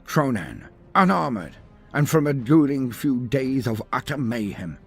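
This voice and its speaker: Gravelly, husky man narrating dramatically. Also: mid-range voice, big pitch swings, measured pace, soft background music. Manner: theatrical, grandiloquent, with wry asides. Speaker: lore video narrator. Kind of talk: dramatic scripted story narration.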